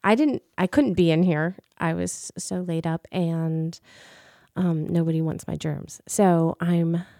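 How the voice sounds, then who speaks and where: Middle-aged woman, solo radio host, slightly rough voice after illness, low gravelly tone, chatty with frequent ums, dry studio sound. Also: slow pace, dark tone, no echo. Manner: chatty, many ums and restarts. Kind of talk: solo casual radio talk.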